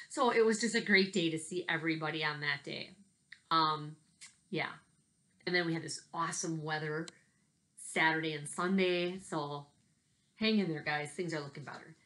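A woman, talking casually and reading to children in an animated, expressive way, like an elementary teacher. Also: fairly high pitch. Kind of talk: casual video message and children's read-aloud. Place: small bedroom at home, indoors. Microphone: laptop webcam at desk distance.

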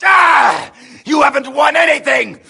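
A man voicing an arrogant villainous robot in a video game, with a raspy screech and boastful, theatrical sneering. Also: extremely high pitch, measured pace, pushed and bright, raised voice, some chatter behind, exaggerated voice. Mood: furious, gloating. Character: arrogant, shrieking villainous male robot warlord's lieutenant.

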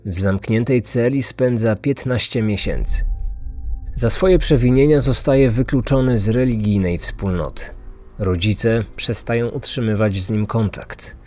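A man narrating a scripted true-crime podcast in measured, suspenseful phrases. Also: medium pitch, warm tone. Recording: dry studio voice over music. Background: background music.

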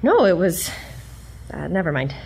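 A woman's voice: lowish voice, big pitch swings, measured pace, creaky, warm tone, husky, heavily acted. A woman playing a plucky, defiant young American heroine in a comic radio drama. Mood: earnest.

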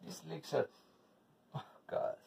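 A man's voice: slow pace, recorded from a distance. A middle-aged man with a Spanish accent, a native Spanish speaker, talking through a home cooking video with rambling, bitter, profane asides. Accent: Spanish-speaking, English as second language. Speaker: home cook vlogger. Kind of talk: casual cooking video with ranting. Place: home kitchen, indoors. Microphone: camera across the counter. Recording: voice from off-mic with faint noise.

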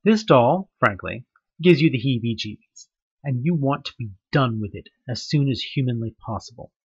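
A man's voice: medium pitch, animated, moderate pace, husky, highs cut off. A man narrating a horror webcomic audioplay in the second person, telling it with tense, dramatic questions. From United States.

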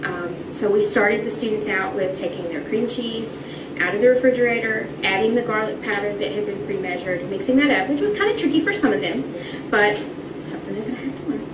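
A woman's voice: medium pitch, brisk. A woman teacher explaining things in interview answers. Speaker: middle school teacher. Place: classroom, replayed on a projection screen. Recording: distant echoey re-recorded playback, noisy room.

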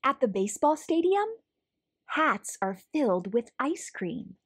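Female read-aloud host for young kids, highish lively voice with swooping intonation, storytelling delivery. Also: a little slow. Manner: animated, clearly paced storytelling for young children. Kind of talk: expressive picture book read-aloud.

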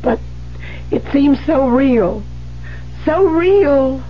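An actress in a 1970s radio drama playing a defensive, high-strung widowed mystery writer with a theatrical, insistent manner. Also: female voice, lively intonation, very slow, heavily acted. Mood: agitated, pleading. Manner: theatrical, insistent, faltering under pressure. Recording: muffled, noisy 1970s radio broadcast.

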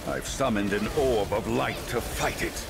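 An actor playing a grave, steady ally who gives measured, solemn guidance in a fantasy video game. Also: male voice, mid-range voice, gravelly. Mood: solemn, urgent. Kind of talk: scripted fantasy game acting. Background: game music.

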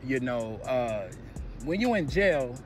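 A man's voice: mid-range voice, big pitch swings, slow pace, faint music behind. An American man giving casual, opinionated gossip commentary in a conversational way.

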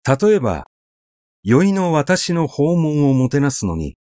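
A man narrating a literary story in a measured, conversational, expressive way, as if telling it to someone. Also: on the high side, clean tone, dry recording, clean studio sound.

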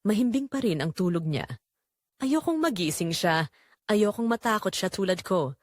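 A woman's voice: medium pitch, animated, moderate pace, no echo. A woman narrates a first-person thriller in a suspenseful, emotive style. Her intonation moves widely, and the recording is dry with no room sound. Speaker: audio drama narrator.

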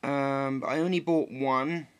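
A young man from England, slightly croaky after flu, rambling through a casual solo vlog update with many ums and restarts, filmed on a close webcam in a dim living room. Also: mid-range voice, steady tone, a little slow. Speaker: vlogger.

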